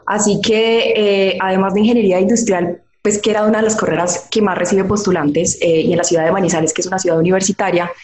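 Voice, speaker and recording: A woman's voice: medium pitch, reverberant, poor audio. A young adult woman from Colombia with a Colombian accent, telling her story as an interview guest over a video call, chatty and full of fillers. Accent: Colombian Spanish.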